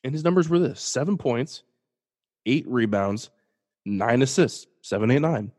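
A man's voice: medium pitch, lively intonation, measured pace, a little mellow. Congested young man hosting a sports podcast. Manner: casual, jokey, restarts and self-deprecating asides. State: congested, sniffly. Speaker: podcast host. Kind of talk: solo sports podcast commentary.

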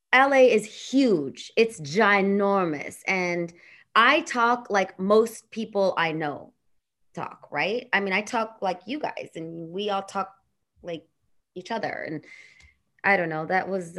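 A bubbly woman in her thirties with a Los Angeles regional accent, talking as a guest on a casual podcast. Her voice sits at an everyday pitch, and she laughs often and wanders into asides. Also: a bit bright. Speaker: radio and TV personality, guest.

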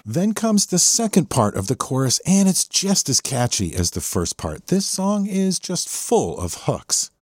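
A man's voice: on the high side, very animated, measured pace, hardly any pauses. An American man hosting a music podcast, talking through a song with enthusiasm. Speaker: podcast host. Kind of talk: music appreciation podcast commentary.

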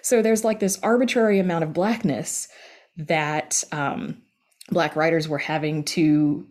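A woman's voice: low-pitched, steady tone, a little slow, darkish tone. A woman guest in a casual interview, explaining things conversationally with plenty of filler phrases. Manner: conversational, explanatory, peppered with you know and like. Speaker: podcast guest, writer and audio drama creator.

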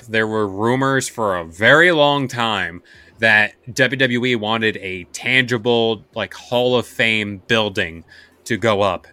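A man hosting a casual podcast, talking in an excited, rambling way. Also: fairly low pitch, no echo. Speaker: podcast host. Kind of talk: casual chat.

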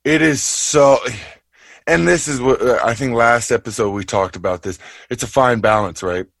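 A man with a raspy voice co-hosting a casual sports podcast, laid-back and talking about playing. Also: mid-range voice, normal speed, fairly bright tone, dry recording, clean studio sound. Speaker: basketball player and podcast co-host.

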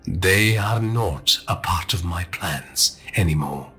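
A man voicing a sadistic male villain in an audiobook, with a silky, husky, slow and taunting menace. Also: low-pitched, lively intonation, sharp tone, soft background music, pitched below the performer's own voice, heavily acted. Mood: smug menace. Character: smooth-talking sadistic male villain taunting over a radio.

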